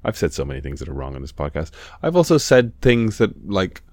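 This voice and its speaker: Low-voiced man in easygoing podcast chat. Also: sing-song, fairly quick, a little mellow, no echo, studio quality. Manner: easygoing, teasing, filler-heavy. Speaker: podcast co-host. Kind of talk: casual chat.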